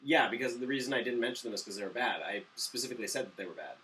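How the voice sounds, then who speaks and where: A man with a mid-pitched, bright and forceful voice gives casual movie opinions as a co-host. He is blunt and quick to argue, and sounds slightly distant from the mic. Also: animated, a little hiss or hum. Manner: blunt, argumentative. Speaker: movie review show co-host. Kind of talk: casual, opinionated review banter.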